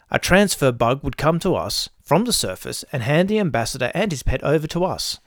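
A man's voice: medium pitch, a bit bright, no echo, studio quality. A man hosting and narrating scripted science fiction audio stories in the first person. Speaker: host and narrator.

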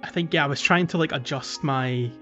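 A man's voice: on the high side, a little fast, bright, forceful tone. Man chatting on a gaming podcast over music. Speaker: podcast co-host. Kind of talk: casual video game podcast discussion.

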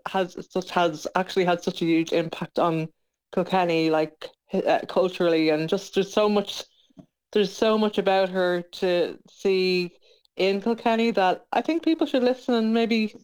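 A woman chats as a guest in a radio interview. Her voice is clean and smooth, at a medium female pitch. She talks informally and often rewords what she is saying. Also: normal speed, a little mellow, rough recording. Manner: informal, chatty, self-correcting. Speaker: interview guest, playwright and producer.

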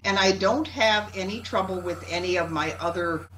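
A woman's voice: medium pitch, moderate pace, fairly bright tone, a little hiss or hum, poor audio. A woman chatting casually on a group call, polite and apologetic as she asks for help. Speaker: call participant asking for help. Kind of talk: casual chat.

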